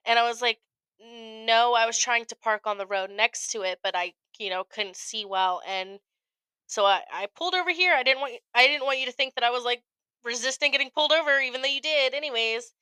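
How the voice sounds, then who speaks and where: A woman's voice: on the high side, a little fast, smooth, no room sound. A young American woman co-hosting a podcast, telling a story in casual chat and acting out quotes with some swearing. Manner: animated retelling with acted-out quotes, frequent profanity. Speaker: podcast co-host.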